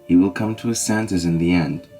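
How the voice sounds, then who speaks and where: An actor playing a deep, dark-voiced Scottish lord. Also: moderate pace, soft background music, lightly acted. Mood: solemn. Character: grave Scottish nobleman lord Macduff. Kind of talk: fan audio drama acting.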